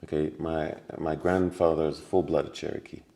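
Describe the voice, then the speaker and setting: A man's voice: rumbling low, steady tone, moderate pace, vocal fry, mellow. A man talking as a podcast guest, telling stories earnestly with many restarts and repetitions. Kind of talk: casual conversation.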